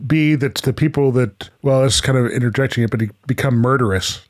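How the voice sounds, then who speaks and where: A man's voice: mid-range voice, fairly quick. A man co-hosting a show in casual chat, easygoing and encouraging. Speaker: co-host.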